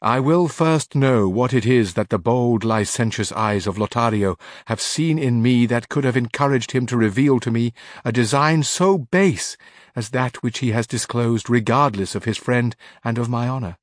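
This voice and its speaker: A man's voice: mid-range voice, moderate pace, dry recording, clean studio sound, lightly acted. A man reading the part of a proud, vengeful noblewoman in a storybook, impassioned and declamatory. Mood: indignant.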